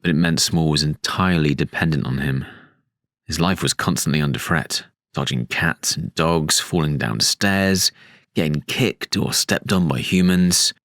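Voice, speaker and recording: Very deep, reflective British character. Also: male voice, unhurried, fairly bright tone, no echo, studio quality, full character voice. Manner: thoughtful, hesitant, conversational. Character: measured, reflective male Mr. Men character being interviewed. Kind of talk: audio drama interview acting.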